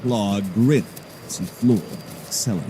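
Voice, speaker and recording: A man's voice: on the low side, a little slow, background noise. A man narrating an action audio drama from a script in a dramatic storytelling style.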